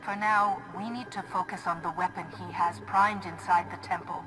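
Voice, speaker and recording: An actress playing a composed, decisive Jedi hero in a video game cutscene. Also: female voice, lowish voice, fairly even intonation, moderate pace, music in the background, close to an everyday voice, rough recording.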